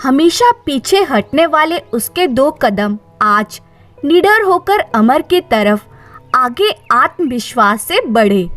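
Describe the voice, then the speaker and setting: Female storyteller, high and very lively, slow dramatic delivery. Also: soft background music. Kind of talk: dramatic scripted story narration.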